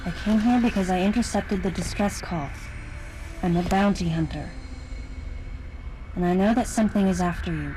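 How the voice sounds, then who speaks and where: An actress playing a stoic, reserved female bounty hunter in a video game, speaking in a measured, deliberate, analytical way. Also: medium pitch, close to an everyday voice, poor audio. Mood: calm, reassuring. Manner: measured, deliberate, restrained delivery. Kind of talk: video game cutscene dialogue and inner-monologue narration. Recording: studio voice with loud music.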